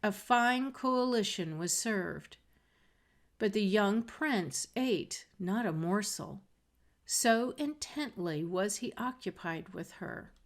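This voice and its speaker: Woman, storybook narrator, unhurried slow pace, mid-range pitch, expressive scripted fairy-tale reading. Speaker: storybook reader.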